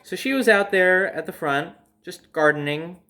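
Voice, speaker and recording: Higher-voiced young man telling a dramatic story. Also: normal speed, bright, forceful tone. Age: young adult. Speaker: storyteller host. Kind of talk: casual personal storytelling.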